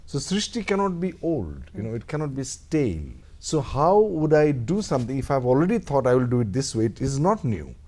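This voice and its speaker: A man's voice: mid-range voice, fairly quick, few pauses. A middle-aged Indian man, an actor, talking thoughtfully in a radio studio interview with an Indian English accent. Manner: thoughtful, animated, acts out little quoted exchanges. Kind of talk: reflective interview talk.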